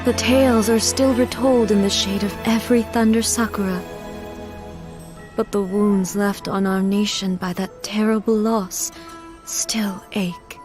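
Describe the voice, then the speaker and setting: A woman playing a solemn, regal shogun deity in a video game, speaking in a grave, measured, formal way. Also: medium pitch, natural voice. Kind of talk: dramatic video game acting. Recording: studio voice over background music.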